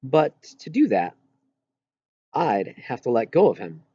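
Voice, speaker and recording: A man's voice: medium pitch, very animated, a little slow, dark tone, husky, no echo, muffled recording. A man reading a first-person story as an audiobook narrator.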